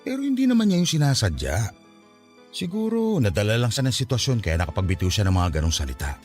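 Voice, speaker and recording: A man's voice: mid-range voice, huge pitch swings, quick pace, darkish tone, soft background music. A man playing a patient, peacemaking father and husband with a Filipino accent, placating and pleading in a radio drama. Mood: pleading, reasoning.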